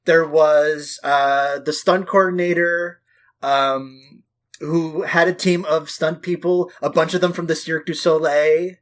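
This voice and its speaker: A man's voice: fairly high pitch, fairly even intonation, unhurried. A man co-hosting a casual film discussion, talking emphatically with plenty of swearing and hyperbole. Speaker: podcast co-host.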